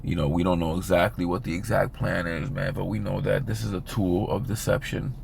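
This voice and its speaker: A man with a raspy, hoarse voice giving an informal, rambling video sermon as a religious teacher. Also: low voice, fairly even intonation, fairly quick, darkish tone, slight background noise. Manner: rambling, filler-heavy, conversational.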